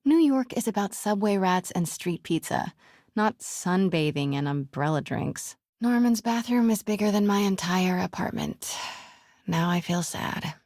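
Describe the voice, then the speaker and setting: A woman playing a wry, curious, quick-witted young woman in a video game, muttering to herself as she sneaks around, with a breathy voice. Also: medium pitch, expressive, no echo, studio quality. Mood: wry, sardonic. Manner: wry, hushed self-talk.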